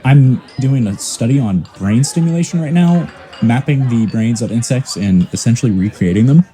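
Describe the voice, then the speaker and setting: A man's voice: mid-range voice, animated, moderate pace, mellow, soft background music, close to an everyday voice. A man acting in an audio drama as an eager, deferential young scientist and fan, speaking in a hesitant, admiring manner. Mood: eager, pushy. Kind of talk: naturalistic audio drama dialogue.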